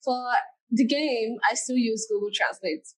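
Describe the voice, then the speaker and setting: A woman's voice: fairly high pitch, unhurried. Young woman, chatty. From Nigeria. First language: Yoruba. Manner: chatty, many "like" fillers. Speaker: guest. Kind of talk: casual interview talk.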